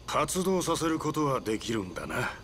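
Rugged, easygoing mentor. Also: male voice, mid-range voice, big pitch swings, normal speed, fairly bright tone, a little hiss or hum. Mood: casual, interested. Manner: rough, familiar, casual. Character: rugged, easygoing middle-aged mercenary mentor. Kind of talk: anime-style game voice acting. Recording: music behind.

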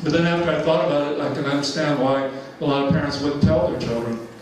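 A man's voice: a little high, steady tone, fast, slight background noise. A man in his seventies from Georgia giving an informal talk with slides, rambling with lots of fillers and frequent chuckles. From Georgia, USA. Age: 70s. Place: large church hall. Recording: echoey hall, mic far off.

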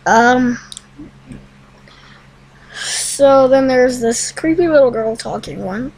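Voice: high voice, takes it slowly, creaky, darkish tone, low-quality recording. A young teenager hosting a casual screen-recorded tutorial in a rambling, hesitant way. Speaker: tutorial host. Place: room at home.

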